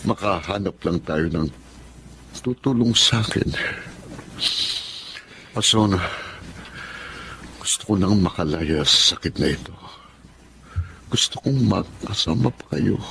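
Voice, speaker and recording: An actor playing a wealthy, ailing middle-aged boss in a Filipino radio drama, sad, earnest and kind. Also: male voice, low voice, expressive, slow pace, gravelly, pauses often, a bit bright, slight background noise, exaggerated voice. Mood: weary, pleading. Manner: weary, earnest, heavy-hearted.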